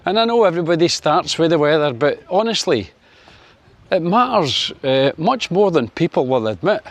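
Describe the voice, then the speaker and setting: A man's voice: very animated, moderate pace. A Scottish man in his sixties from Scotland, chatting to camera on his vlog in a wry, filler-heavy way. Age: 60s. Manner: chatty, wry, lots of fillers, lifts his pitch for sunny Spain. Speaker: vlogger. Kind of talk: casual opinion vlog to camera.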